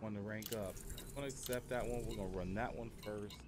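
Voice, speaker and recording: A man's voice: lowish voice, fairly even intonation, quick pace, background noise. A man chatting informally and in a rambling way to viewers as he streams a game. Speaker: live streamer. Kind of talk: casual gaming livestream chat.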